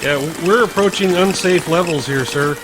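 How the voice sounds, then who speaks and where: An actor playing a rattled, stammering army private in an audio drama. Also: male voice, on the high side, normal speed, background noise, close to an everyday voice. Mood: anxious.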